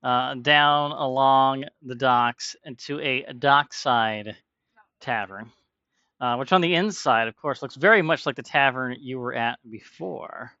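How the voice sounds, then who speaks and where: A man's voice: medium pitch, unhurried, sharp tone, no room sound, lo-fi sound. A man narrating as game master of a tabletop game, laid-back and chatty with frequent laughs.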